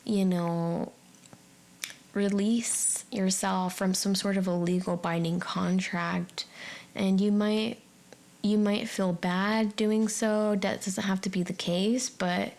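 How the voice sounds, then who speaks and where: A woman's voice: lowish voice, gravelly, a little mellow. A woman giving an unscripted tarot forecast straight to the viewer, musing aloud in a tentative way as she interprets each card. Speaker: tarot reader and channel host.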